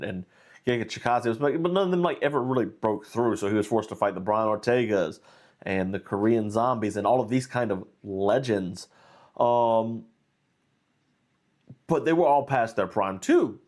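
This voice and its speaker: A man with a stuffy, nasal voice from being under the weather, hosting a casual live stream. He rambles through his fight breakdown, circling back with fillers and false starts. His pitch sits at a middling level. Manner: rambling, with many fillers and restarts. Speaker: host.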